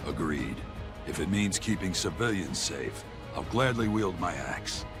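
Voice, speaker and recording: A man's voice: low-pitched, animated, unhurried. A man playing a stalwart, dutiful warrior in a video game cutscene. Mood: steadfast. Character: stalwart, dutiful male axe-wielding warrior. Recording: loud music under the voice.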